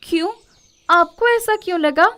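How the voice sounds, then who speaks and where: A woman voicing a polite, earnest young fairy in a children's story, with formal, dramatic and expressive speech. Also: very high voice, unhurried, full character voice. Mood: startled.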